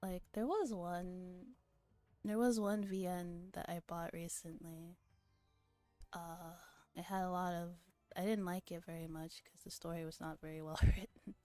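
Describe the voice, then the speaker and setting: A woman's voice: on the low side, fairly even intonation, a little slow, dark tone, no room sound, soft background music. A young woman livestreamer chatting casually to her viewers in an informal, rambling, teasing and giggly manner, on a close microphone.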